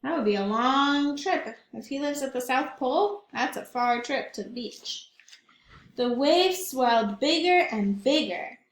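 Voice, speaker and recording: A woman's voice: sits high, expressive, measured pace, reverberant. A woman teacher reading a picture book aloud to children, often stopping to ask them questions. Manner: warm, questioning, child-directed.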